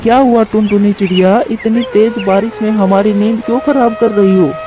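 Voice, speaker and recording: A man's voice: sits high, steady tone, moderate pace, music in the background, close to an everyday voice. A man voicing a grumpy, unhelpful male crow with a curt manner in a moral-story cartoon. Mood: annoyed. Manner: curt, complaining.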